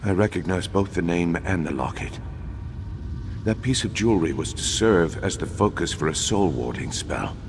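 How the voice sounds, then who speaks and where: Deep-voiced, cursed undead battle mage. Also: male voice, animated. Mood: sorrowful, hesitant. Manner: formal, solemn, measured. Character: remorseful undead imperial battle mage, grave, cursed old scholar. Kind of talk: dramatic video game dialogue. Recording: long hollow reverb, faint background noise.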